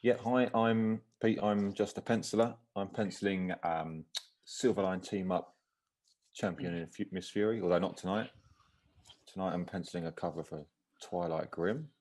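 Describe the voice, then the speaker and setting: A man's voice: sits low, steady tone, moderate pace, darkish tone. A man chatting casually as a livestream panelist, understated and dry in manner. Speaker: comic book penciler, panelist.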